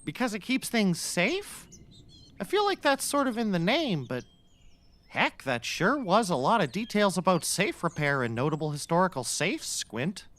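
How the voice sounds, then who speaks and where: A woman's voice: fairly low pitch, big pitch swings, moderate pace, a bit bright. A woman playing a reasonable, patient sidekick in a scripted comedy, tentative and matter-of-fact. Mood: bemused. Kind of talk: scripted comedy audio acting.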